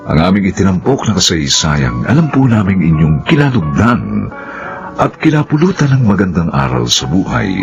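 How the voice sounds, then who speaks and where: A Filipino man working as a radio drama announcer, reading a formal closing script with theatrical, drawn-out phrasing. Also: low voice, highs cut off. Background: music.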